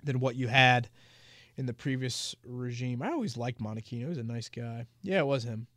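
A man's voice: mid-range voice, a little fast, fairly bright tone, no room sound, studio quality. A man hosting a casual sports podcast, talking in a chatty, rambling way with fillers and restarts. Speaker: podcast host and team reporter.

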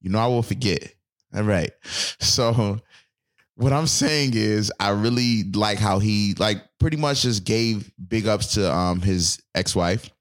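A man with a low, deep voice talks loosely on a podcast. He wanders through his points, stacking fillers and false starts as he chats with his co-host. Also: unhurried. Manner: rambling, loose, full of fillers and restarts. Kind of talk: casual podcast chat.

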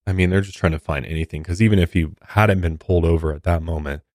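A man's voice: sits low, fairly even intonation, fast talker, darkish tone, dry recording, studio quality. A man with an American accent narrating true crime as a podcast host, with casual commentary mixed in.

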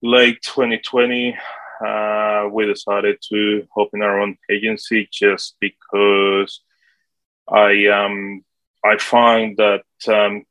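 A man in his forties with a Colombian Spanish accent answers interview questions, pausing often on fillers as he works through his story. His voice sits low and stays fairly flat in tone. It sounds bright and edgy, recorded dry and close. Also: no room sound, poor audio. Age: 40s. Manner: halting, with many fillers. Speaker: guest, property manager.

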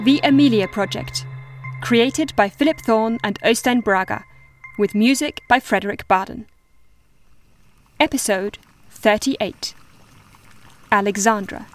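High-voiced woman announcer over music. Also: a little slow, pauses often. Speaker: announcer reading show credits. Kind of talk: scripted announcement.

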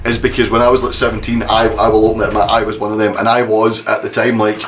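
A man's voice: fairly low pitch, little pitch movement, fast talker, fairly bright tone. A young man co-hosting a casual wrestling podcast, rambling and restarting his sentences, often starting with "see". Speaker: podcast co-host.

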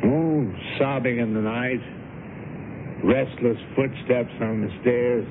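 A tinny old radio drama recording with clear noise. a cautious, courteous estate agent with a mid-pitched voice. He speaks politely and hesitantly, weighing his words. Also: steady tone, slow. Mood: hesitant, warning.

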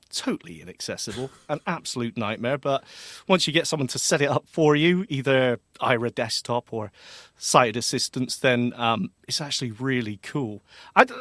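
A chatty male co-host of a technology podcast, talking loosely and laughing easily. His voice sits in a middle pitch and sounds close and dry, with no room around it. He wanders off topic and then pulls himself back. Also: very animated, moderate pace, fairly bright tone, no room sound. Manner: chatty, laughs often, goes off on tangents. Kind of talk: casual tech chat.

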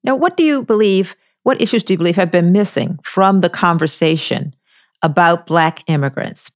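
A woman hosting an interview podcast, putting measured questions to her guest. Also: fairly low pitch, no room sound, clean studio sound.

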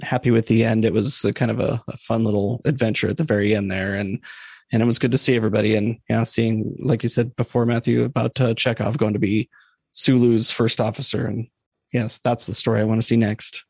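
A man speaking as a podcast guest, giving measured, somewhat hesitant opinions in a casual book discussion. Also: fairly low pitch, level tone, vocal fry, darkish tone, breathy edge, no room sound.

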